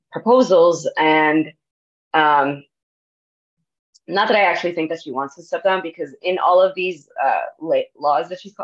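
A young American woman talking casually about politics as a guest on a video call. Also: low-pitched. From grew up in New Jersey, USA. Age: young adult. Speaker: guest, activist organizer. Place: home room with bookshelves. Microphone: earbuds on a video call. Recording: dull video-call audio.

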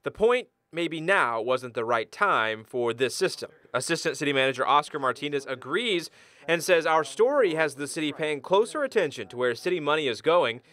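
A man's voice: on the high side, lively intonation, normal speed, pushed and bright. A young American man narrating a scripted TV news report. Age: young adult.

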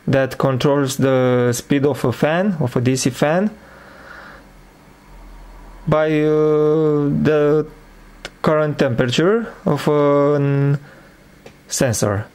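A man giving an informal hands-on demonstration as presenter of an electronics project, with hesitant, halting phrasing. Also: on the high side, darkish tone, clean tone.

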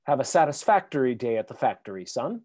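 Radio play casting: stubborn old soldier dad, man acting, mellow tone, animated delivery, gently wry. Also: medium pitch, fast talker, close to an everyday voice.

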